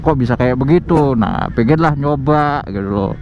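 Indonesian guy motovlogging, hesitant casual talk, muffled sound with clear bike and traffic noise. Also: mid-range voice, animated. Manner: hesitant, halting delivery. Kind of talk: casual vlog chat while riding. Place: outdoors on a moving motorcycle in traffic. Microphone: helmet mic. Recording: muffled helmet mic, clear road noise. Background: motorcycle and traffic noise.

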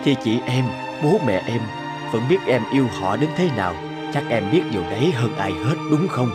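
A man voicing a gentle character consoling a younger sister in an animated drama. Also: medium pitch, moderate pace, close to an everyday voice. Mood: tender. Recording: loud music under the voice.

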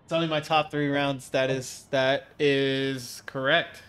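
A man's voice: mid-range voice, flat delivery, unhurried, fairly bright tone, smooth. A young man with a stuffed-up nose chatting casually with viewers while he streams a racing game from home, reading out chat and joking along. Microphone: desk mic, webcam framing.

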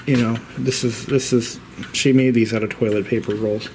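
A man narrating a vintage radio repair in a casual, rambling, halting and plain-spoken way, as a hobbyist vlogger. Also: medium pitch, steady tone. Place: indoors in a home. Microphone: handheld camera, operator behind it. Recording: clear background room noise.

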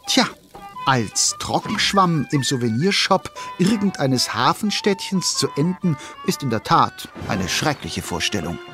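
A man's voice: fairly high pitch, sing-song, unhurried, pushed and bright, faint music behind, heavily acted. A man narrating a children's audio play in a wry storytelling manner, speaking to the listener.

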